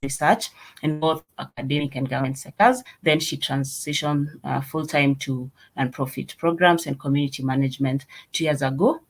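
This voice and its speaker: A meetup host reading out speaker introductions in a halting way, with restarts and fillers. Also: mid-range voice, steady tone.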